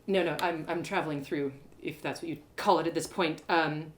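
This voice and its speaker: A woman voicing an earnest, awkward and excitable young woman adventurer in an audio story. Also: deep voice, normal speed, pitched below the performer's own voice, close to an everyday voice. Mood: curious, awkward. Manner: hesitant, rambling, emotional.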